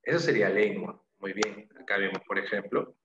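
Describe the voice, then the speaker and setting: A man's voice: on the low side, fairly quick, a crowd in the background. A man teaching an interactive language class, asking questions, repeating answers and confirming them with short praise and tag questions. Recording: echoey room, dull lo-fi sound, faint noise.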